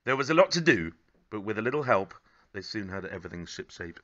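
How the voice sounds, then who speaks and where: A man in his thirties narrating a children's story expressively, with measured, gently dramatic phrasing. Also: lowish voice, very animated, dull audio. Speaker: storybook reader.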